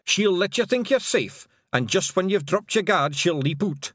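A man's voice: high-pitched, animated, normal speed, fairly bright tone, no echo, studio quality, pitched above the performer's own voice. A man voicing a small, fierce, boastful Pictsie warrior chief with a Scottish accent. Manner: blustering, emphatic.

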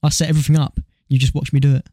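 A young British man talks very quickly in a mellow, slightly high voice. He is a podcast co-host, chatting casually with slangy, sweary opinions. The sound is close and dry. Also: little pitch movement, no echo.

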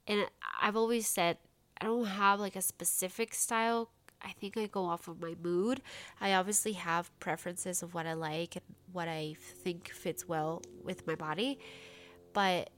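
A woman in her late twenties hosts her own podcast and chats on in a bubbly, filler-heavy way. Her nose is stuffed up and congested, and her voice sits at an ordinary middle pitch. The sound is dry and close, with no room around it. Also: a bit bright, no room sound. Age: late 20s. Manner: chatty, bubbly, lots of "like" fillers. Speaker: podcast host. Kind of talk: casual solo podcast chat.

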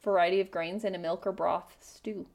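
A woman's voice: on the low side, fairly even intonation, moderate pace, gravelly, darkish tone. A woman co-hosting a podcast, reading a folktale aloud with casual, irreverent and sweary asides.

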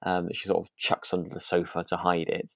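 A man chats as a podcast co-host, feeling his way tentatively through his thoughts. His voice is deep, with plenty of gravelly creak. It is recorded dry, with hardly any room sound. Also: monotone, a little fast, fairly bright tone, dry recording. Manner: hesitant, tentative, thinking aloud. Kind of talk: relaxed casual discussion of a radio drama.

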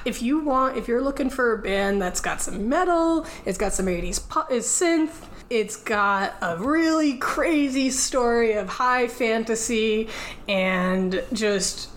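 A woman's voice: on the high side, lively intonation, takes it slowly. A woman co-hosting a casual podcast, talking about a band in a rambling way full of "like" fillers and restarts. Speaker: podcast co-host. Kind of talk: casual chat reviewing music.

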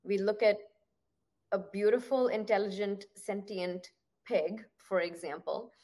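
A woman's voice: medium pitch, fairly even intonation, a little slow, warm tone. A woman hosting an interview, asking long, reflective questions dotted with "you know". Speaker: podcast host, interviewer.

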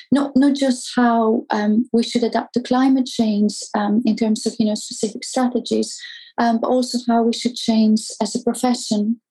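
Female academic guest, Greek accent, highish mellow voice, careful hesitant explanations. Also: poor audio. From Greece. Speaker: academic researcher, interview guest. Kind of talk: thoughtful conversational explanation.

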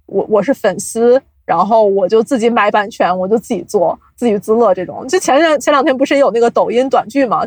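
A woman's voice: mid-range voice, darkish tone, no room sound. A woman explaining at length, with examples, in a casual podcast interview as an invited guest. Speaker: guest, audio drama producer.

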